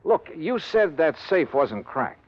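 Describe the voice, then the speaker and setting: An actor playing a skeptical, brisk insurance investigator in a hardboiled 1950s radio drama, with a clipped, probing, wry delivery. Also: male voice, fairly high pitch, sing-song, heavily acted. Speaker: insurance investigator lead and narrator. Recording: dull vintage radio recording, noisy.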